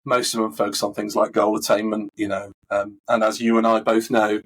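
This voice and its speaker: A man with a husky, recovering voice, answering interview questions in a thoughtful, conversational way with frequent fillers and restarts. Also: lowish voice, little pitch movement, a little fast, fairly bright tone, a little hiss or hum. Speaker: coaching expert guest.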